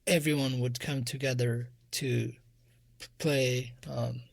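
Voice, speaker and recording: A man with an Afghan accent speaks softly at a medium pitch. He answers as an interview guest in a reflective style. The sound is dry, with hardly any room in it. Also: flat delivery, slow pace, gravelly, no room sound. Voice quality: soft voice. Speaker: interview guest, musician and music teacher.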